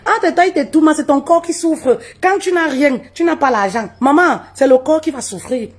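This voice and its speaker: A woman, telling her own story live, her voice jumping high for emphasis and dropping low and quiet. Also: normal speed. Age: 30s. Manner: expressive, swoops high for emphasis, drops low and quiet, laughs often. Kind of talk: animated personal storytelling. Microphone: phone on a live stream.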